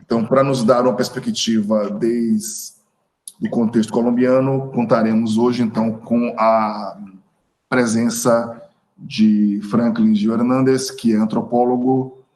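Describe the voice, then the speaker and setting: Halting man moderating a seminar. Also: medium pitch, flat delivery, darkish tone, rough recording. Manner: halting, many fillers and self-corrections. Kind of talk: formal academic introduction. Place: video call.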